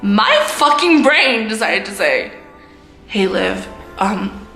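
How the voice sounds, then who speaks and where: A woman in her twenties telling a casual story to camera as a vlogger, with a loud voice and a giggly, self-mocking manner. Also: mid-range voice, sing-song, takes it slowly, gravelly, reverberant, faint music behind. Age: 20s. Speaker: vlogger, host of own channel.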